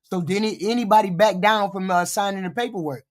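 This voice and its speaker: A streamer giving blunt, profane live reaction commentary, with a voice deepened by a cold and short of breath. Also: fast.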